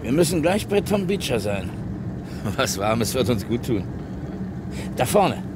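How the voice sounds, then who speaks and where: A gruff chatty trucker in an old crime radio play, normal male pitch. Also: very animated, measured pace, very noisy. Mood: grumbling. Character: gruff, talkative male long-haul trucker. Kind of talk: vintage German radio crime play acting.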